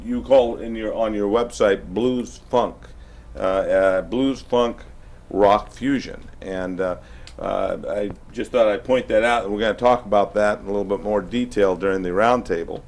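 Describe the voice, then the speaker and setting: A man hosting a music show, interviewing guests in a casual, chatty way with halting, rambling questions. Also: fairly low pitch, darkish tone.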